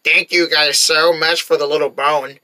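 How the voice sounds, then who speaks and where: A performer as cartoon potato creature, cowboy drawl, polite and grateful, bright sharp tone, noisy recording. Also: medium pitch, level tone, normal speed, close to an everyday voice. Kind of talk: cartoon voice acting.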